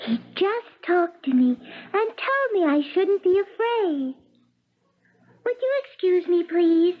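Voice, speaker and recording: A woman's voice: very high-pitched, sing-song, slow, heavily acted. A woman playing a sensitive, grieving little girl in a 1970s radio drama, speaking with childlike earnestness. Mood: earnest, fragile. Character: sensitive, bereaved little girl, quietly trusting. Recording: tinny old radio broadcast.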